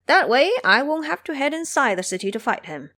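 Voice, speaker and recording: A woman's voice: high-pitched, sing-song, a little fast, pushed and bright, pitched above the performer's own voice, exaggerated voice. A woman voicing a bossy, brash male monkey hero in an audio drama, with a commanding manner.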